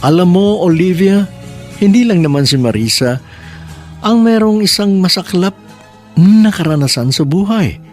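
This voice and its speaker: A male radio drama host who reads and narrates a listener's letter, animated and emphatic. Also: high voice, moderate pace, pauses often, faint music behind.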